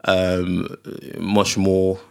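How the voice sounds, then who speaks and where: A young man from Nigeria, a podcast guest, talking in a relaxed, unhurried way with frequent ums and uhs. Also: sits low, gravelly, smooth. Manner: relaxed, hesitant with fillers, laughs easily. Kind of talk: casual conversation.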